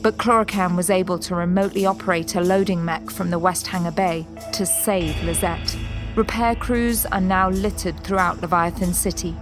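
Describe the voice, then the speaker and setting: A woman narrating a scripted audio drama in a measured, solemn storytelling manner. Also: on the low side. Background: music.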